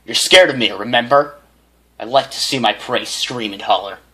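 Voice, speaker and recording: A man's voice: medium pitch, moderate pace, a bit bright, natural voice, poor audio. A sneering young bully pony acted out by a man from a storybook tale. He is cruel and taunting and leans on threats, in a slightly lifted male voice.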